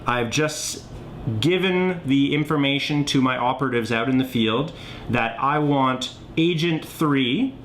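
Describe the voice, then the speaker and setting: Man explaining casually over background noise. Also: medium pitch. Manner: step-by-step, thinking aloud with check-in "okay?". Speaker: board game designer demonstrating his game.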